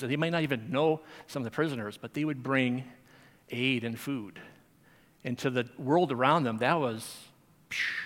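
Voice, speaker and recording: A man's voice: medium pitch, fairly bright tone. Man teaching in a reverberant church. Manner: conversational, chatty, with frequent fillers and restarts. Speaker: Sunday school teacher. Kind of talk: sermon. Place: church sanctuary. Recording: long hall reverb.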